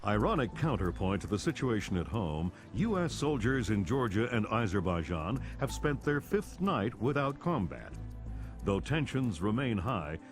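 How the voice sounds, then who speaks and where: An actor playing an authoritative news anchor in a game, reading a formal, grave scripted report. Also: male voice, fairly low pitch. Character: urgent, authoritative male television news anchor.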